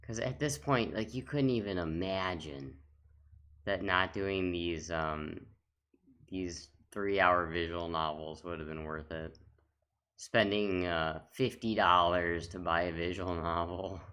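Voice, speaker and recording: A man's voice: sits low, slow, pushed and bright, clear, resonant tone. A man giving casual, off-the-cuff commentary as a gaming video host, talking in a rambling, reminiscing way.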